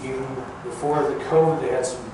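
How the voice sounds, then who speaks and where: An older man, a former air traffic controller, gives an informal talk in a plain-spoken, halting, folksy way. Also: medium pitch, steady tone. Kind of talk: informal public talk recounting career. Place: large echoey museum room. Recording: echoey hall, distant mic, clear background noise.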